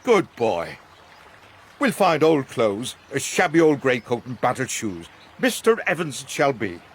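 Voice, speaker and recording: An actor playing a passionate, persuasive Irish gentleman with an Irish accent, declaiming rhetorically in a radio drama. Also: medium pitch, wildly swooping pitch, unhurried, slightly rough, full character voice. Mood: urging.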